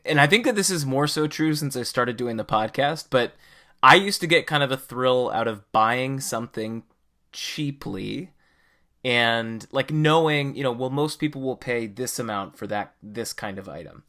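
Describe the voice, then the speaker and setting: Male podcast host, forceful bright voice, conversational and opinionated, casual discussion. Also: medium pitch, lively intonation, normal speed.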